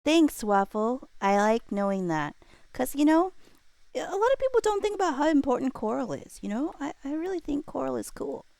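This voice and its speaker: A woman's voice: high voice, sing-song, moderate pace, creaky, darkish tone, no echo. A woman hosting a livestream, chatting casually and playfully with viewers in a rambling way. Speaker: livestream host. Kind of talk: casual chat with live viewers.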